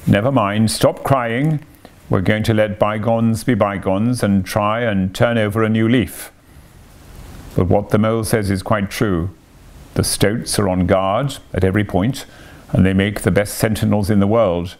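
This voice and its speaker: Elderly badger for a storybook, male reader: severe, fatherly, dry, gravelly. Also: lowish voice, steady tone, a little mellow. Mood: kindly firm. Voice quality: thin, dry. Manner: slow, severe, commanding. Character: stern, wise, fatherly old male badger. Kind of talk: animal storybook character voice.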